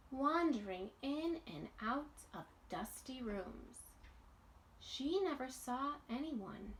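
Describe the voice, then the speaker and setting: A woman reads a children's storybook aloud in a fairly high voice that moves up and down a lot. She takes her time, setting each phrase apart with deliberate pauses, like a teacher reading to young listeners. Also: a little hiss or hum, rough recording. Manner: expressive, deliberate, pausing between phrases.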